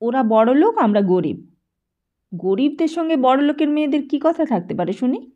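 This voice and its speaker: A woman's voice: sits high, big pitch swings, moderate pace, dull audio. A woman voicing a pushy, scornful teenage boy who badgers and dismisses in an audiobook story. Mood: indignant.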